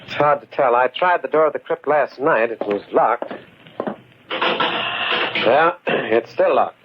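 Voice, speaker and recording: A man playing a confident, inquisitive criminologist in a 1940s radio mystery, speaking in a measured, urbane, wry way. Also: mid-range voice, lively intonation, heavy background noise, natural voice. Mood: assured. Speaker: radio actor. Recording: muffled 1940s radio recording with music.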